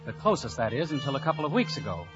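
A man's voice: medium pitch, big pitch swings, racing, fairly bright tone, faint music behind. A man playing a genial, reluctant Irish-American insurance investigator in a 1950s radio play, with flippant, incredulous delivery. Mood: wry, narrating. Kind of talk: 1950s radio acting, first-person narration and dialogue. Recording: dull vintage radio broadcast.

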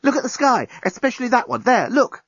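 A man voicing an excitable, forceful young Earth woman with an emphatic, exclaiming delivery in an audiobook. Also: lowish voice, a little fast, slightly rough, no echo, clean studio sound, pitched above the performer's own voice. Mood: breathless excitement. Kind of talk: audiobook character voice.

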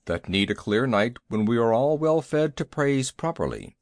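A man's voice: medium pitch, lively intonation, moderate pace, a little mellow, no echo, studio quality, natural voice. Man as a courteous, commanding storybook panther. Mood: flattering, earnest. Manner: formal archaic phrasing. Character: male panther, courteous, quick-witted, commanding hunter.

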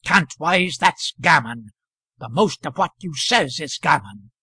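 A man's voice: a little high, lively intonation, measured pace, breathy edge, dry recording, studio quality, heavily acted. A man doing a blustering, scornful traveller president. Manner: loud, scornful, hectoring. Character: pompous blustering male commercial traveller president.

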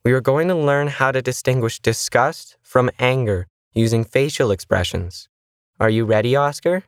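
A man's voice: medium pitch, normal speed, clean tone, no echo, clean studio sound, pitched above the performer's own voice, lightly acted. Man reading as a stressed, curt woman trainer. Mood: instructing. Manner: curt, defensive.

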